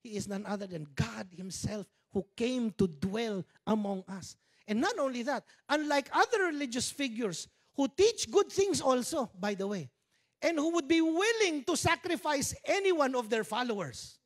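Filipino pastor with a handheld mic. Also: male voice, extremely high pitch, big pitch swings, moderate pace. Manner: conversational, mixing in Tagalog asides and jokes. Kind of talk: sermon. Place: church stage with dark curtains.

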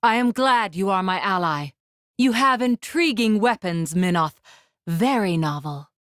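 Regal, measured warrior woman. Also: mid-range voice, animated, fairly bright tone, no room sound, clean studio sound. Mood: composed, stern. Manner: formal, commanding, measured diction. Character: proud, composed, regal female fire-spirit warrior. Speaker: video game voice actor. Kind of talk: video game battle lines.

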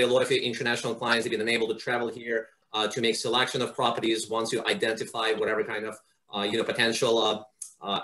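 A man's voice: mid-range voice, fairly bright tone. Middle-aged male host on a video call. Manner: praising, wordy questions. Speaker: interview host. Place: home office indoors.

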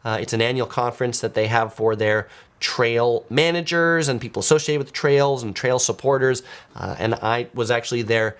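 A man's voice: mid-range voice, normal speed, clear, resonant tone. A man in his forties chatting casually as a video host, reading out viewer comments and replying as if talking to one person. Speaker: host of a travel video channel. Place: small home studio, indoors.

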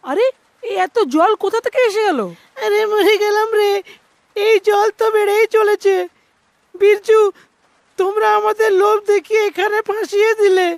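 A woman's voice: extremely high pitch, fairly even intonation, normal speed, pauses often, dry recording. A woman voicing panicky, complaining village farmers in a melodramatic cartoon, exclaiming and whining. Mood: alarmed.

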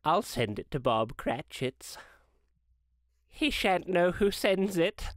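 A narrator acting an old gentleman from a storybook, bursting with delight. He exclaims heartily and trips over his words with eagerness, his pitch leaping up and down in a dry, close recording. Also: sing-song, slow pace, dry recording. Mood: gleeful. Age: older. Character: excitable, delighted old miser turned jolly gentleman. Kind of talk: dramatic storybook character reading.

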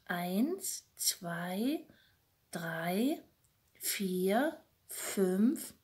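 Slow, soft-spoken woman storyteller. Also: medium pitch, sing-song. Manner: soft, gentle, addressing children directly. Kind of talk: bedtime story reading for children. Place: small room at home. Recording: roomy, echoey home recording.

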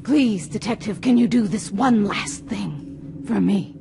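A woman's voice: medium pitch, lively intonation, measured pace. A woman playing an intense, theatrical middle-aged spirit medium, solemn and dramatic, in a video game cutscene. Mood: earnest pleading. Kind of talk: live-action video game cutscene acting. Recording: clearly noisy backdrop.

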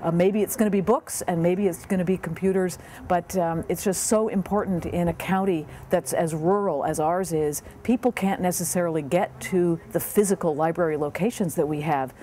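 Woman, 50s, earnest official, mellow lowish voice, faint outdoor noise. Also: moderate pace, few pauses. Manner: earnest, many fillers. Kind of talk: interview. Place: outdoors beside a bookmobile.

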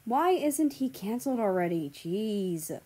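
A woman's voice: medium pitch, sing-song, measured pace, dark tone. A woman voicing gossipy, judgemental internet commenters in a story, in an exclamatory manner. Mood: indignant. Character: snide, gossipy online commenters.

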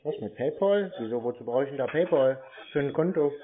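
A man's voice: medium pitch, animated, a little fast. Skeptical man on a tinny phone line. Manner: skeptical, blunt. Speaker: scam-baiting call recipient. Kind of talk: casual phone conversation. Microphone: phone call.

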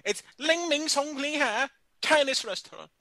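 A man's voice: extremely high pitch, animated, moderate pace, pushed and bright, dry recording, pitched above the performer's own voice, exaggerated voice. A man voicing an irate woman restaurant owner who speaks broken English and stammers out insults. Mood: indignant. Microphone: phone call.

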